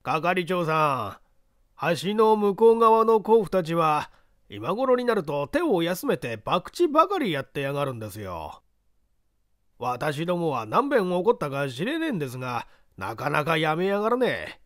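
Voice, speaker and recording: A man voicing a rough but deferential construction foreman in a storybook reading, coarse and pleading. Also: a little high, big pitch swings, moderate pace, fairly bright tone, no echo, studio quality. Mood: complaining. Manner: coarse workman's speech, pleading.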